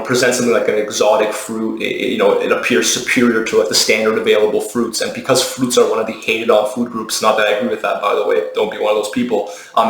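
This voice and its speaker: A man speaking as a dietitian in a casual explainer video, conversational, matter-of-fact and opinionated. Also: fairly low pitch. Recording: roomy, echoey indoor sound.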